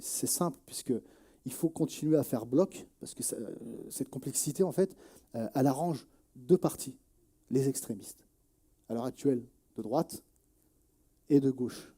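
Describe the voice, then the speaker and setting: Dark, mellow-voiced man speaking haltingly. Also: medium pitch. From Nièvre, France. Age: about 40. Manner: halting, searching for words, repeating phrases. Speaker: journalist and activist interviewee. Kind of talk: earnest spoken testimony in an interview.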